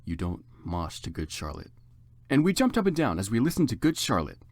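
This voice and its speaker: A man's voice: fairly low pitch, huge pitch swings, fairly quick, no room sound, studio quality. A man giving a dramatic, comedic story reading as narrator, with theatrical and exaggerated emphasis.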